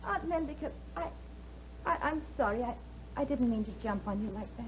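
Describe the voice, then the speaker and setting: An actress playing an anxious young wife who is tense, pleading and often near tears, in a 1950s radio drama. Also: female voice, on the high side, lively intonation, fairly quick, lightly acted. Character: anxious young wife, fearful for her husband. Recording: dull 1950s radio broadcast with faint hiss.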